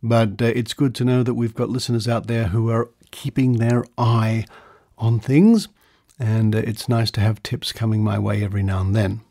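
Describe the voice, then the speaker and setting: A man's voice: medium pitch, gravelly, a little mellow. A man hosting a podcast in a semi-scripted monologue, recorded on a close studio microphone in a treated room. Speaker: podcast host. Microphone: close studio condenser microphone.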